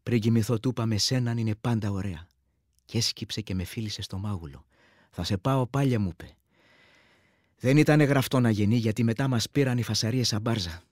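An actor playing a wounded village war veteran who reminisces intimately and plainly, with a husky voice, in a radio play monologue. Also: male voice, fairly low pitch, a little mellow, dry recording, clean studio sound, close to an everyday voice. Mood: tender, bashful.

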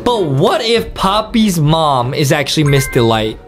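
A young man hosting a reaction video, giving exaggerated, excited commentary addressed to viewers. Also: fairly high pitch, measured pace, faint music behind.

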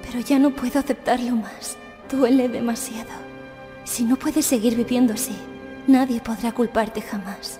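Woman as high-voiced, sorrowful young female assassin, reverberant. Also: steady tone, lightly acted. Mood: tender sorrow. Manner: quiet, solemn, measured. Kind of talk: anime fan dub acting. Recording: long reverb under background music.

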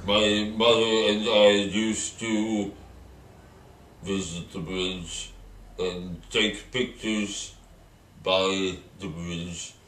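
A man answering interview questions in character in a halting, deadpan, cryptic manner. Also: low voice. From Southern California, as claimed in character. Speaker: performer being interviewed. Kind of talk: improvised in-character performance interview. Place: bare unfinished room indoors. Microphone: distant camera microphone. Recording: echoey, distant camera with background noise.

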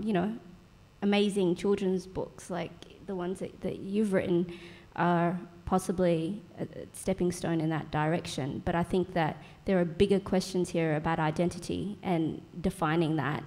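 A young woman with an Australian accent, a guest writer on a stage panel, speaking in a measured, thoughtful way. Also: fairly low pitch, big-room sound. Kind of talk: panel discussion. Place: stage of a small indoor venue.

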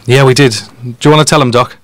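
A man's voice: mid-range voice, steady tone, quick pace, no echo, close to an everyday voice. A man playing a down-to-earth, matey local detective guesting on a podcast. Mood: pondering, casual. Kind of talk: conversational audio drama acting.

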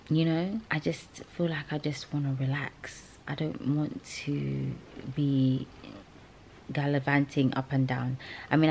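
A woman in her thirties, a travel vlogger, chatting casually and relaxed to the camera while lying in bed, laughing now and then. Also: a bass voice, a little hiss or hum. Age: 30s. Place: hotel bedroom, lying in bed. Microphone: phone camera held close.

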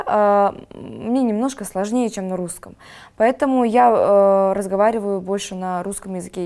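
A woman's voice: medium pitch, a little mellow. Earnest young Kazakh woman, pleading. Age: young adult. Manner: earnest, pleading, hesitant with many pauses. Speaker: podcast guest. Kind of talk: casual studio talk. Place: studio with dark backdrop. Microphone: lapel.

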